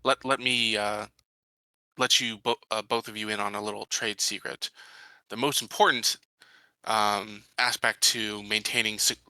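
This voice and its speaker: A man as a forceful, guarded security chief. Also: on the low side, fairly even intonation, no room sound, exaggerated voice. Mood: confiding, firm. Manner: measured, careful, official-sounding. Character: guarded, authoritative male colony security chief. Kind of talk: improvised tabletop roleplay dialogue.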